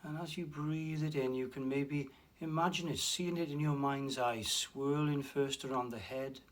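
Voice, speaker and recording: A man guiding a relaxation meditation, speaking slowly and softly with long pauses and a breathy hush at times. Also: mid-range voice, steady tone. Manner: slow, soft and soothing, with long pauses. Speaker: meditation guide. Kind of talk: guided meditation.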